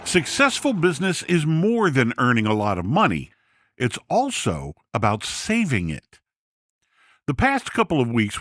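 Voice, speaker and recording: A male podcast narrator reads a scripted story with animated, moving pitch. His delivery is expressive and deliberate, his voice sits in the middle range, and the recording is dry. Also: no echo.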